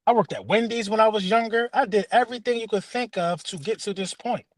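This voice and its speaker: High-pitched, fast-talking American man. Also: dry recording. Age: 30s. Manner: slangy, repeats phrases emphatically. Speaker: sports talk show co-host. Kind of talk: casual joking chat.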